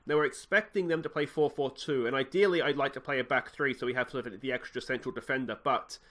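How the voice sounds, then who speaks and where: A man's voice: mid-range voice, fast talker. Nasal Australian man commentating a game. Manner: rambling, self-correcting, excitable at goals. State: slightly nasal from a cold. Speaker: let's play host. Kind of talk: casual gameplay commentary.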